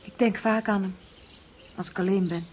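An actress playing a gentle, worried middle-aged wife and mother in a radio drama. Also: mid-range voice, fairly even intonation, normal speed, slight background noise, natural voice. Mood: wistful, worried.

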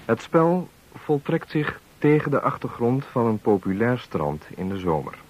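A man giving a formal, measured, solemn scripted introduction to a radio play as its announcer. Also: medium pitch, sing-song, dull audio. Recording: hissy old radio recording.

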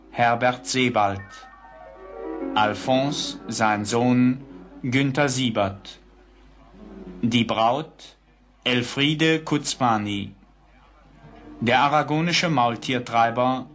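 A man announcing a radio play's title and cast in a formal, measured, solemn manner. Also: mid-range voice, fairly bright tone.

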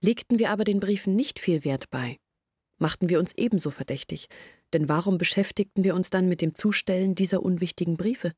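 Husky, earnest young village woman. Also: lowish voice, fairly quick, a little mellow, dry recording, studio quality. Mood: anxious, reasoning. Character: earnest anxious young village woman, pleading her family's case. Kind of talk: literary radio play monologue.

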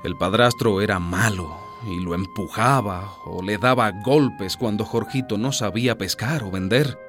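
A man's voice: lowish voice, measured pace, a bit bright, soft background music. A man narrating a radio drama as a scripted story, with a grave, dramatic delivery.

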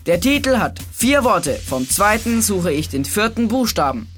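A woman's voice: mid-range voice, very animated, normal speed, natural voice. A woman voicing a cocky, teasing boy who hosts a children's quiz show in a breezy, slangy way. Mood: breezy presenting. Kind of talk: scripted children's quiz presenting. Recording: music behind the voice.

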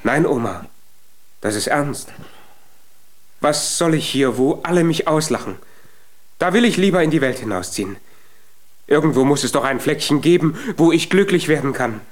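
An actor playing a small, earnest, naive young giant in a German children's radio play. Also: male voice, a little high, expressive, normal speed, long pauses, close to an everyday voice. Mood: solemn, determined.

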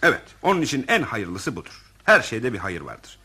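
An actor in a radio play voicing a callous, self-serving office director who talks in an officious, evasive, digressive way. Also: male voice, medium pitch, huge pitch swings, moderate pace, sharp tone, slightly rough, heavily acted. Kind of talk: radio theatre acting.

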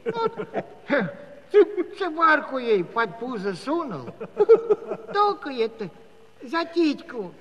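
A man's voice: wildly swooping pitch, slow pace, long pauses, fairly bright tone, full character voice. An actor playing a comic old Cossack grandfather who stammers and rambles in a folksy way through his storytelling. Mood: aggrieved storytelling. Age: older. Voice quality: high-pitched, stammering. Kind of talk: comic musical radio-play acting. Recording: reverberant hall-like studio recording.

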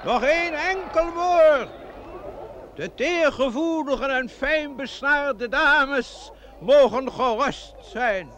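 Casting: pompous, tight-fisted mayor, unhurried theatrical speech, old radio play with slight hiss. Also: male voice, very high voice, a bit bright, a faint crowd, heavily acted. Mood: grandly oratorical. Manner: stammering when pressed, grandly oratorical in speeches. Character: pompous, hesitant, stingy village mayor, man.

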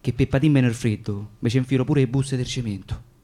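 An actor playing an agitated, indignant deported forced labourer with a Roman accent, in a radio drama. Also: male voice, mid-range voice, brisk, mellow, lightly acted. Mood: weary, matter-of-fact.

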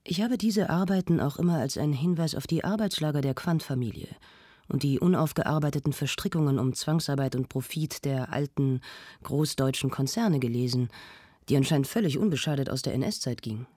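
An actress playing a prim, insistent radio host who conducts a formal, probing interview in a radio play. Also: female voice, deep voice, brisk, mellow, no room sound, studio quality. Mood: measured, probing.

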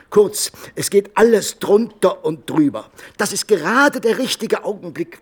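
An actor in a German radio play voicing a brash, gossipy Venetian fixer, brisk and worldly in manner. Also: male voice, a little high, husky.

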